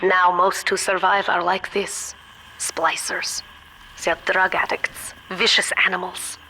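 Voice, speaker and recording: A woman's voice: fairly low pitch, level tone, slow pace, noisy background. A woman playing a guarded, worldly scientist ally in a video game, with a German accent and a grave, matter-of-fact manner. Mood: guarded, grim. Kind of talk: video game character dialogue.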